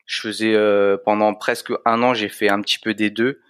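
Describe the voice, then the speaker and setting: A man in his thirties from France speaks in a somewhat low voice, relaxed and a little hesitant, filling gaps with small pauses. The sound is dry and close. He talks like an interview guest explaining himself in plain, easy words. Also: smooth, no echo. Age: 30s. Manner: relaxed, hesitant with frequent fillers. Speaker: guest, fitness coach and content creator. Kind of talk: casual interview chat.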